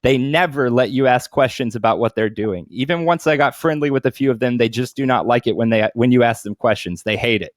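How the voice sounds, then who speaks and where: A man's voice: medium pitch, a little fast, few pauses, no room sound. Guy podcaster just talking and telling stories, casual, swears a lot, very dry sound. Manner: informal, heavy swearing. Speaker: podcast co-host. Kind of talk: casual chat and reading a story aloud.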